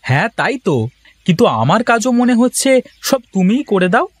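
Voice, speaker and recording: A man playing a humble, hard-up village potter in a moral-story cartoon, with a folksy, lamenting and expressive way of talking. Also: high-pitched, a little fast, slightly rough, no echo, full character voice. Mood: teasing.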